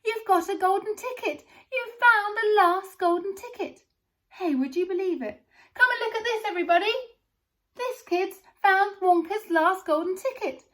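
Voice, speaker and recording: A woman reading aloud, voicing a fat, excitable male shopkeeper who screams with joy. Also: very high-pitched, moderate pace, pitched above the performer's own voice. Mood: ecstatic. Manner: shrieking with excitement.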